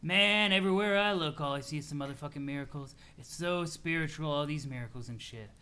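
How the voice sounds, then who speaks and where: A man's voice: on the high side, brisk, pushed and bright, clean tone, pitched below the performer's own voice. A man voicing a laid-back, spiritual stoner troll who rambles and swears in dreamy wonder. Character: mellow, spiritual stoner male troll, awed by everything.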